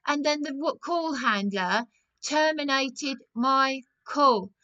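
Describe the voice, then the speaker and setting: A woman's voice: high-pitched, slow pace, pushed and bright, highs cut off. A distressed woman making a complaint as a caller, agitated and insistent, repeating her phrases.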